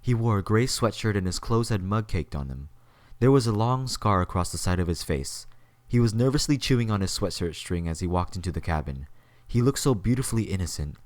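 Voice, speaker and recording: A man with a deep voice narrates a story from a script. He serves as the narrator of an audio drama. The recording is close and dry, with no room sound. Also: animated, fairly quick, darkish tone, dry recording.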